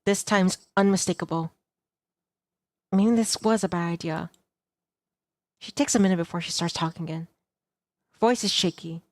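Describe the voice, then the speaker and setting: A woman's voice: on the low side, moderate pace, long pauses, no room sound, lightly acted. A woman playing a self-doubting, observant young heroine, narrating a visual novel in a glum, confessional first person. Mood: worried, observant. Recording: music clearly behind the voice.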